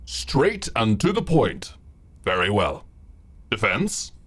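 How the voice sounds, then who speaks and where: Casting: man as curt, unimpressed male judge for audio drama, slow delivery, mid-pitched with strongly varied intonation. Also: huge pitch swings, fairly bright tone, breathy edge, full character voice. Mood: stern. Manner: authoritative, dry, curt. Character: stern, unimpressed courtroom judge. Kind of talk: courtroom roleplay audio drama.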